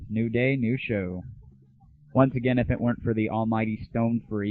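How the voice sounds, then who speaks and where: American guy hosting, casual n jokey, kinda low voice, phone quality. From United States. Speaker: show host. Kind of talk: casual comic monologue and game review. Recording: dry, phone-like lo-fi audio.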